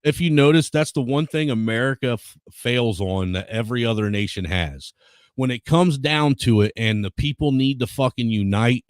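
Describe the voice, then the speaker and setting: A man's voice: medium pitch, no room sound, clean studio sound. A man in his forties hosting a live stream, ranting crudely and swearing constantly in casual chat. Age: 40s. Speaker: show host.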